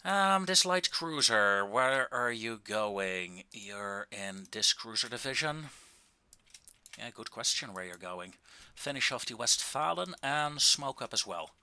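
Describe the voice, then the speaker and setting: Bright-voiced man doing lively game commentary. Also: medium pitch, slow pace, clean tone, no room sound. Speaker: video game streamer and commentator. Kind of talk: casual gameplay commentary.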